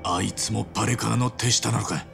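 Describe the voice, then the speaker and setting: A man playing a gruff, hot-blooded but loyal yakuza hero in a Japanese video game cutscene, talking roughly and expressively. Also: fairly low pitch, fairly even intonation, brisk, over music. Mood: earnest.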